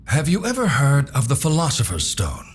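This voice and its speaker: A man playing a calm, cryptic older mentor in a video game cutscene, explaining in a measured way. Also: mid-range voice, a little hiss or hum. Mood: solemn, explaining. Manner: measured, expository.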